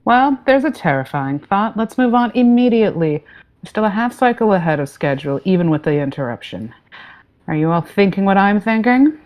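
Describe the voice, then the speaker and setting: A woman's voice: medium pitch, brisk, natural voice. A woman playing a no-nonsense, jaded starship captain with a dry delivery in a sci-fi comedy play. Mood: exasperated. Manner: dry, deadpan.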